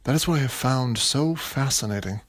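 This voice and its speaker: Male actor for gentleman playwright, period audio drama; mid-range voice, courteous, unruffled, principled, formal. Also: mid-range voice, lightly acted. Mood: calm, principled. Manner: polite, measured, formal period diction. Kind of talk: stage-play acting in an audio drama.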